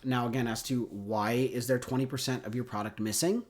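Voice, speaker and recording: A man's voice: medium pitch, fairly even intonation, fairly quick. A man with a congested cold, teaching a chemistry lesson in a casual, conversational way, often checking in with "right?" and "okay?". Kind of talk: recorded classroom lecture.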